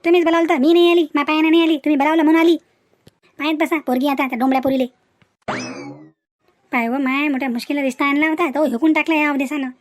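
A woman's voice: high-pitched, steady tone, fast talker, pauses often, slight background noise, close to an everyday voice. A woman voicing a querulous, scornful elderly village matchmaker in a cartoon, with a Varhadi Marathi accent. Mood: offended. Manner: querulous, scolding.

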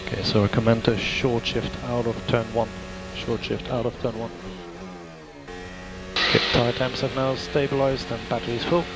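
A man's voice: mid-range voice, steady tone, normal speed, loud music behind the voice. A male race engineer giving clipped, matter-of-fact instructions to a driver over team radio, repeating key phrases. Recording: noisy team radio with car sounds.

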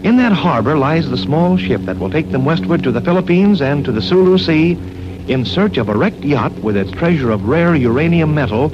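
High-voiced man, vintage radio announcer. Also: expressive, normal speed, background noise. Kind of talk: 1940s radio announcing, ad pitch and scripted narration. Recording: dull lo-fi 1940s radio recording.

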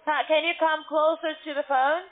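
A woman working as a call centre account manager, delivering a scripted sales pitch over the phone. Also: flat delivery, moderate pace. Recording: narrow, tinny phone line.

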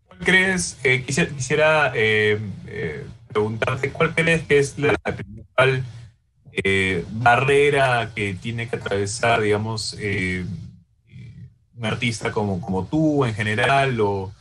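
A man's voice: mid-range voice, slow pace, a bit bright, slight background noise. A man interviewing a guest, asking questions hesitantly with many fillers. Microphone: video call.

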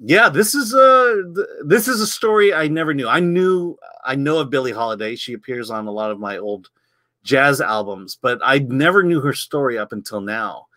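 A man's voice: medium pitch, lively intonation, normal speed, pushed and bright, no echo. A middle-aged man hosting a casual film review over a video call, talking conversationally and repeating words as he thinks. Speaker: host, film site editor. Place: home room.

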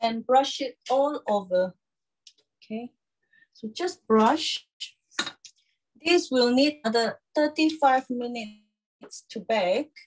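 High-voiced woman presenting a cooking demo. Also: lively intonation, slow, long pauses, rough recording. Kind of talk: live cooking demonstration with sales pitch. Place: small home kitchen, indoors.

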